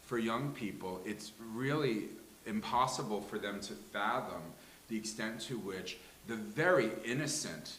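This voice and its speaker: Low-voiced articulate man in echoey room. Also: expressive, unhurried, a bit bright. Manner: articulate, wordy, emphatic. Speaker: panel guest. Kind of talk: opinionated panel discussion. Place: live event room with a small audience. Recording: echoey room with faint hiss.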